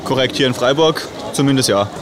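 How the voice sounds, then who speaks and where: A man's voice: medium pitch, a little fast. A man in his twenties, a football fan explaining his initiative in an outdoor interview. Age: 20s. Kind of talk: interview answers. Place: outdoors at a crowded stadium fest. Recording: crowd noise behind, outdoors.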